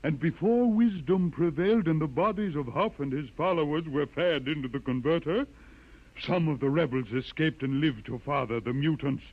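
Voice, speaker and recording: A man's voice: on the high side, normal speed. An actor playing a pompous, menacing old holy man aboard a starship, speaking in solemn liturgical declamation in a vintage science-fiction radio drama. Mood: grave teaching. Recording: muffled old radio recording, music behind.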